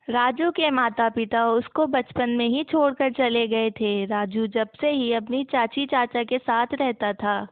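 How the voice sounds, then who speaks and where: A woman's voice: a little high, no echo. A woman narrating a scripted children's moral story as the storyteller. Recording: muffled, narrow phone-like sound.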